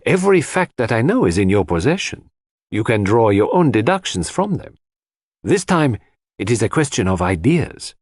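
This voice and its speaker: A man voicing a shrewd, solemn foreign detective in an audiobook, speaking in a measured, emphatic and enigmatic manner. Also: mid-range voice, very animated, dry recording, studio quality, voice pushed up. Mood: calm, earnest.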